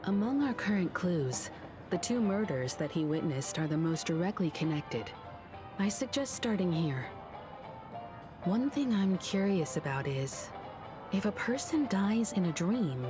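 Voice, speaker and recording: An actress playing a poised, inquisitive, mature crew leader in a video game, composed and articulate. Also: female voice, on the low side, expressive, a little slow, over music. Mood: composed, curious. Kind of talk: video game story dialogue acting.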